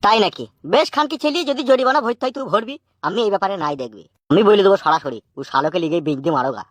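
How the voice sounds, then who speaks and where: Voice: medium pitch, lively intonation, racing, no room sound, studio quality, lightly acted. A performer voicing an anxious, nagging old father in a comic cartoon, grumbling and scolding. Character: exasperated, foul-mouthed old village father, anxious and nagging.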